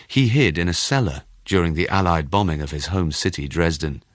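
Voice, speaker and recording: A man with a low-pitched voice reads literary first-person fiction. His storytelling is measured and expressive, and the sound is dry, with very little room on it. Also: dry recording. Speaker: audiobook narrator.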